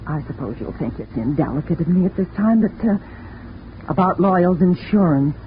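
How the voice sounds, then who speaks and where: A woman acting a prim, fussy older housekeeper in a radio drama, speaking hesitantly in halting bits. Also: lowish voice, animated, lightly acted. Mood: flustered. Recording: tinny old radio broadcast with hiss.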